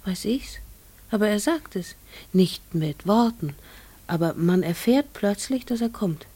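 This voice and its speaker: An actress playing a troubled middle-aged farmer's wife in a German radio play, guarded, halting and fearful. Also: female voice, mid-range voice, expressive, dark tone, breathy edge. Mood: confiding, hushed.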